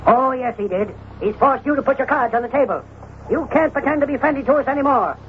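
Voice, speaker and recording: An actor playing a hot-tempered, indignant small rancher, combative and emphatic, in an old radio western. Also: male voice, very high-pitched, quick pace. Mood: defiant. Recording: tinny, noisy old radio recording.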